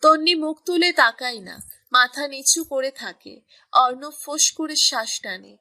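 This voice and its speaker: A woman narrating a scripted romance story in an even storytelling manner. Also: sits high, unhurried.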